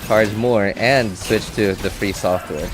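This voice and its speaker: Low-voiced man thinking aloud. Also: music in the background, rough recording. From Philippines. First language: Cebuano. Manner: halting, thinking aloud with many fillers and restarts. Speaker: game streamer. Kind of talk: casual live-stream chat.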